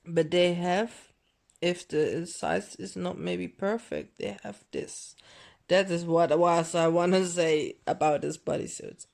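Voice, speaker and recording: A woman's voice: fairly low pitch, fairly even intonation, gravelly, a little mellow. A woman filming a clothing haul at home, talking in a rambling, self-correcting way. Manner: rambling, self-correcting, non-native phrasing; deliberately overdone mixed accent. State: dry throat. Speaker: fashion vlogger. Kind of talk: casual unboxing haul chat. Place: home living room.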